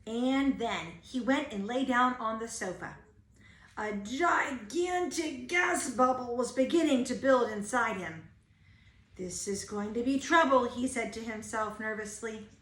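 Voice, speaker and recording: A woman's voice: fairly high pitch, measured pace, a bit bright. A woman reading a children's storybook aloud like a teacher, expressively stressing key words. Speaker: teacher reading a picture book. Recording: roomy, echoing home-style recording.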